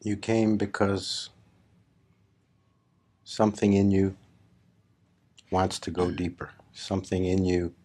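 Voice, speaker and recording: A man leading a guided meditation as a spiritual teacher, speaking deliberately in short phrases with long pauses between them. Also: sits low, flat delivery, mellow. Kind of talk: slow guided meditation talk.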